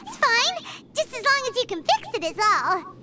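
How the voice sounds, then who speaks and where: A woman voicing a tiny, chirpy floating fairy companion in a game, excitable and chatty, talking about herself in the third person. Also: very high voice, normal speed. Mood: curious. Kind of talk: game voice acting.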